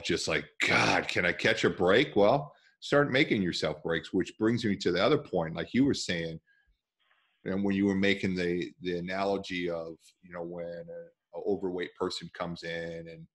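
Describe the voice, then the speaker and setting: A man with a deep, low voice hosts a podcast from a room at home, talking into a headset on a video call. He chats casually but with emphasis, punching his key points. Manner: animated, emphatic, gestures with hands. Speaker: podcast host. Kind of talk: casual interview chat.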